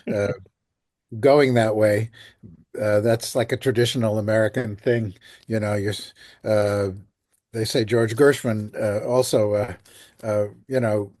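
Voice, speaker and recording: A man's voice: medium pitch, a little mellow, dry recording. An older man answering interview questions as a composer, telling stories in a chatty way. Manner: chatty storytelling with light laughs. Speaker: guest, composer and lyricist.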